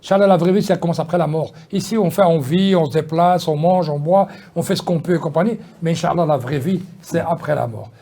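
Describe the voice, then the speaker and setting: A man's voice: fairly high pitch, fast, hardly any pauses, dark tone. A man, talking casually in an interview, rambling with many fillers and restarts. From Belgium. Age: 50s. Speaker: politician guest.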